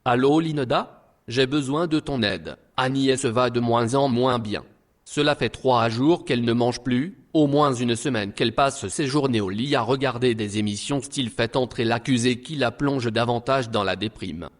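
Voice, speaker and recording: An actor as a worried husband in a French audio drama. His mid-pitched voice is earnest and coaxing, that of someone trying to stay optimistic. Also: moderate pace, natural voice.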